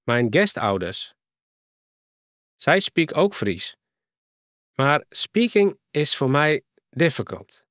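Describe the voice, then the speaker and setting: A man's voice: on the high side, sing-song, slow pace, long pauses, fairly bright tone, no echo, clean studio sound, voice pushed up, full character voice. A man voicing a friendly young Ukrainian woman in a storybook reading, speaking with a Ukrainian accent and searching hesitantly for words. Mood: eager, halting.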